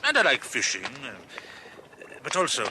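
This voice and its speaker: An actor playing a jovial, proud French former master criminal in a radio drama, with a French accent and an expansive, eager manner. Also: male voice, mid-range voice, wildly swooping pitch, normal speed, noisy background. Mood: confiding.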